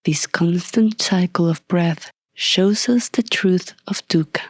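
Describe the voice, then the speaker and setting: A woman leading a guided meditation as a scripted narration, soft and breathy, calm and soothing, with deliberate long pauses. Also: lowish voice, no room sound, studio quality.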